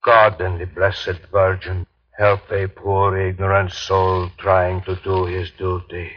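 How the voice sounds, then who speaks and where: An actor playing a weary, haunted, devout ship's captain, solemnly reading his log in a halting 1930s radio horror style. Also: low voice, level tone. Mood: despairing, resolute. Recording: muffled old 1930s radio broadcast.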